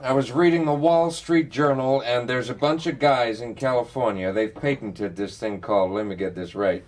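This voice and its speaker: An actor playing a worldly, teasing older brother in a radio drama, dry and sarcastic. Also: male voice, mid-range voice, normal speed, lightly acted. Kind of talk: naturalistic radio drama acting.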